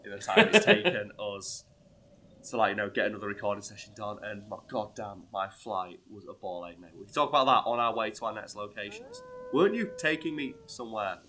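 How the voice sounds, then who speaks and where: A hoarse, ill man swearing freely as he plays a video game and tells a story. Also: on the low side, animated, fairly quick, hardly any pauses, slight background noise. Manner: swears freely, bursts into loud outbursts. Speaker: co-host playing a video game. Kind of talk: casual gaming chat with storytelling.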